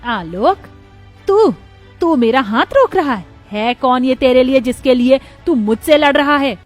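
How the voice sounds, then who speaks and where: A woman's voice: high voice, expressive, unhurried, faint music behind. A woman voicing a harsh, middle-aged, domineering rich mother-in-law in a cartoon moral story, scolding and taunting. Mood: furious.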